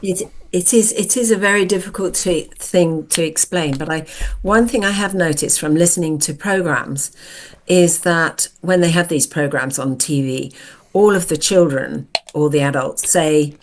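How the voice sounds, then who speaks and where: A woman's voice: sits low, normal speed. A woman in her seventies, a podcast guest, telling stories from her childhood in a reflective, conversational way. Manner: reflective, storytelling. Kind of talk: casual conversational interview.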